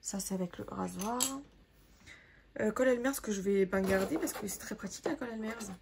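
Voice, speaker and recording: A young woman with a sore throat and a hoarse voice, chatting casually to viewers as she sorts her makeup, rambling with plenty of fillers. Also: medium pitch, a little fast, background noise. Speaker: makeup artist vlogger. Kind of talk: casual sorting vlog, talking to viewers.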